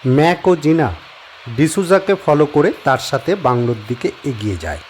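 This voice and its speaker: A man narrating a horror audio story in a measured storytelling manner. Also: a little high, animated, darkish tone, a little hiss or hum.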